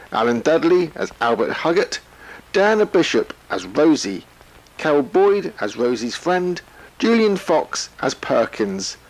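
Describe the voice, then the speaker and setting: British man, vintage radio continuity announcer, formal scripted delivery, lively intonation. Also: mid-range voice, low-quality recording. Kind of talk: scripted cast credits, 1970s radio announcing.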